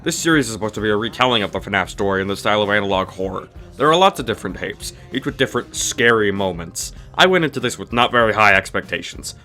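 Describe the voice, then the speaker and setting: Snarky guy doing a scripted video review, kinda low voice, faint music behind him. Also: bright, forceful tone. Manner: snarky, dismissive, mock-exasperated. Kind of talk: scripted comedic review narration.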